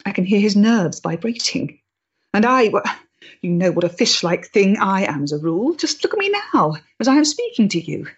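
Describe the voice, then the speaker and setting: A woman's voice: mid-range voice, big pitch swings, moderate pace, slightly rough, highs cut off. An actress playing a proud, intense, troubled freethinking woman of the 1890s in a period stage drama, speaking emphatically and articulately. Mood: tormented.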